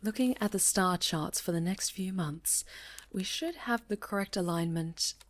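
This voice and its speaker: A woman voicing a stern, cautious high enchantress and mentor, speaking gravely and formally in a fantasy roleplay. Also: normal speed, close to an everyday voice. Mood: solemn. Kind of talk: fantasy ASMR roleplay acting.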